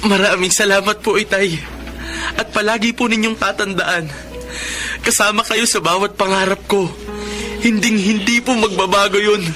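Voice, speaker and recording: A man's voice: sits high, steady tone, moderate pace, bright, forceful tone, faint music behind. A young man playing a devoted, earnest Filipino son in a radio drama. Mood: earnest, tender.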